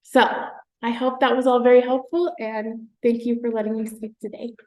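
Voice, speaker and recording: A woman's voice: fairly high pitch, flat delivery, moderate pace, darkish tone. A woman giving an informal talk, chatty and full of fillers, running through lists of resources. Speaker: conference presenter. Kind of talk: informal presentation. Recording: echoey room.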